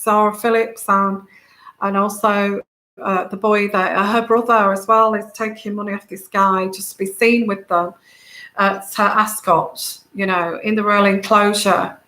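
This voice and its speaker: A woman with a soft, soothing voice, hosting a casual tarot livestream, rambling with many fillers and greeting viewers by name. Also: medium pitch, echoey room. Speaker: tarot reader and channel host.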